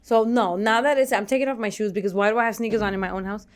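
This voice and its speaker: A woman's voice: mid-range voice, fairly even intonation, very fast. Bantering woman co-hosting a casual podcast. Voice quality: softer voice than a loud speaker's. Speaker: podcast co-host. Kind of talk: casual chat.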